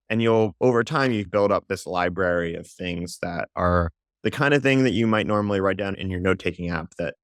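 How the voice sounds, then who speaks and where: A man who writes and runs a company, talking as a podcast guest in a quick, animated storytelling way with fillers. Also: fairly low pitch, dry recording, clean studio sound. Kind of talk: conversational interview.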